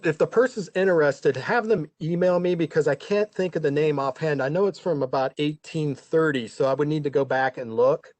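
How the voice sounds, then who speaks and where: A man's voice: medium pitch, a little mellow, no echo. Man explaining records, dry sound. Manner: informal, with fillers and self-corrections. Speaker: historic records manager. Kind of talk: online panel discussion. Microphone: video call.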